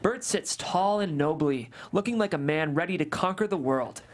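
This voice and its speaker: A narrator reads the scene directions aloud at a table read. The voice is mid-pitched and delivers the lines in a plain, even way. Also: moderate pace. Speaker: narrator reading stage directions. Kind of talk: table read of a comedy script.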